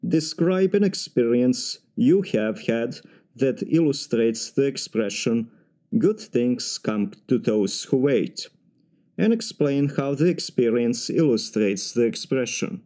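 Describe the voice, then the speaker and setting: A man with a Serbian accent speaks in a formal, measured way, phrasing things a little stiffly. His voice sits at an ordinary pitch with a dark, mellow tone. He delivers practice answers as if timed for a spoken test.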